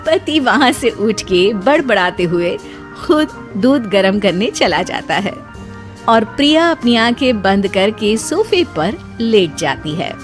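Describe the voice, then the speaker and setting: A woman's voice: medium pitch, big pitch swings, takes it slowly. Lady narrating a cartoon story, voice goes up n down a lot, light music under it.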